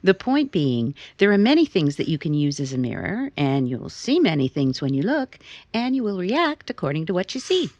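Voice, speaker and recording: A woman's voice: on the low side, sing-song, normal speed, darkish tone, dry recording, highs cut off. A woman playing a poised actress wife who co-hosts a 1950s radio drama, presenting in a scripted style.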